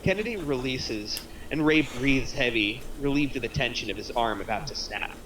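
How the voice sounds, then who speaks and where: A man narrates stage action from a script at a table read. His voice is medium-pitched, a little away from the mic, with faint background noise. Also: normal speed, recorded from a distance. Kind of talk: reading screenplay action lines aloud.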